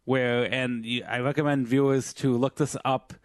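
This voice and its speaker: A man co-hosting a podcast, slightly hoarse from singing, reading out news stories in rambling casual chat full of fillers and restarts. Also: medium pitch, level tone, normal speed, no room sound. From Filipino family background. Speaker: podcast co-host.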